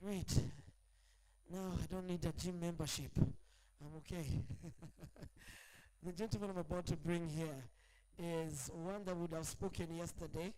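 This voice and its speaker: A man's voice: fairly high pitch, steady tone, takes it slowly, warm tone, rough recording. A man emceeing a conference panel, upbeat and jokey, calling for applause. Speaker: event emcee. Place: large exhibition hall.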